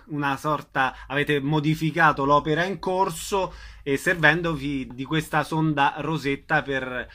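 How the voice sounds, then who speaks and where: A man's voice: a little high, steady tone, a little slow, sharp tone. A man hosting a radio interview, formally introducing the event and prompting his guests with questions. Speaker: radio host.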